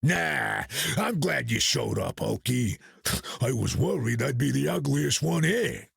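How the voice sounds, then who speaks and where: Very gravelly wisecracking bruiser. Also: male voice, medium pitch, animated, measured pace, fairly bright tone, dry recording, clean studio sound, full character voice. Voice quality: very gravelly, rough. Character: gruff, wisecracking, good-natured rocky bruiser. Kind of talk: video game character dialogue.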